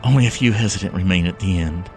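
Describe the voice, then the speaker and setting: A man playing a fervent, fatalistic last survivor in a solemn, measured, theatrical audio drama monologue. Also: sits low. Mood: solemn awe. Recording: scary music behind the voice.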